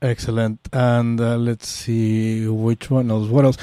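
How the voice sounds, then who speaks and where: Brief: Mexican man hosting in English, halting and friendly-paced questions, mellow voice. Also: medium pitch, flat delivery, clean tone, no echo, clean studio sound. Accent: Mexican Spanish. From Mexico City. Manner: halting, many uhs, repeats "excellent". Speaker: radio show host. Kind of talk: interview.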